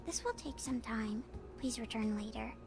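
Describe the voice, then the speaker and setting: An actress voicing a quiet, earnest young woman in a video game, speaking softly in stilted, formal phrases. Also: on the high side, normal speed, soft background music, close to an everyday voice.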